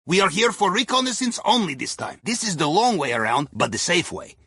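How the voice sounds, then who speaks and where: A man's voice: sits high, big pitch swings, brisk, pushed and bright, breathy edge, no echo, clean studio sound, heavily acted. An actor playing a sly, chatty Russian ex-navy helmsman in a video game, speaking with a Russian accent and foreign phrasing in a wry, conspiratorial manner. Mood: wry briefing. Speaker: mission guide over the radio.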